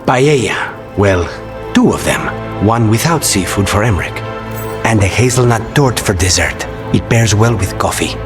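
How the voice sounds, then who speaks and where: An actor playing a guarded, loyal male assassin possessed by a spirit, speaking in a measured, dry way in a video game. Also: on the low side, animated, music in the background. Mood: soft, reserved.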